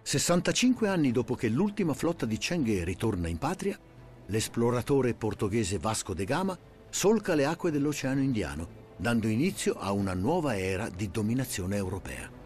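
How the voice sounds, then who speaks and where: A man's voice: mid-range voice, sing-song, normal speed, darkish tone, soft background music. A man reading scripted documentary narration.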